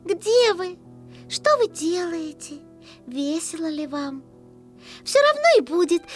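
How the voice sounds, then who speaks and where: A very high storybook voice of a little female duckling. She speaks slowly, dreamy and plaintive, lonely and wistful, over faint music. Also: big pitch swings, full character voice. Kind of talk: audio fairy-tale acting.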